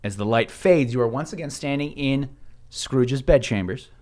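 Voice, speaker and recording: A man's voice: mid-range voice, moderate pace, darkish tone. A man acting as game master, casually narrating a tabletop role-playing game.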